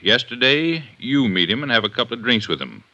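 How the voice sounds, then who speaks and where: An actor playing a gruff, suspicious, hard-nosed police inspector in a 1950s radio detective drama, blunt and sardonic. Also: male voice, mid-range voice, very animated, fairly quick, sharp tone, heavily acted. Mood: suspicious, accusing. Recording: dull 1950s radio recording.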